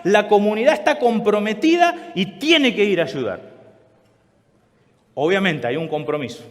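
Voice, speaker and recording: Lively high-voiced preacher in a reverberant hall. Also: male voice. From Chile. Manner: conversational preaching, asks the congregation questions. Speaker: pastor. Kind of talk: sermon. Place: large church hall.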